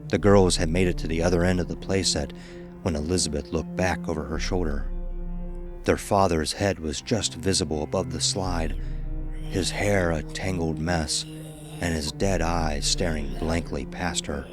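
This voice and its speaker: A man narrating a scripted horror story as a dramatic, measured storyteller. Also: low-pitched, steady tone, over music.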